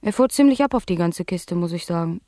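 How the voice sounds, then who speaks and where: A woman playing a blasé young talk-show guest in a satirical radio play, speaking in a deadpan, matter-of-fact way. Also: on the low side, brisk, dry recording, studio quality, natural voice. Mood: deadpan, clinical. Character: blasé young woman, addicted clubgoer giving clinical testimony.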